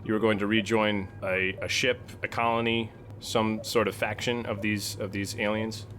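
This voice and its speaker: Casting: low male player, firm and deliberate, clear hiss in the background. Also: flat delivery, a bit bright. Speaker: player and editor. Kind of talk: tabletop game play.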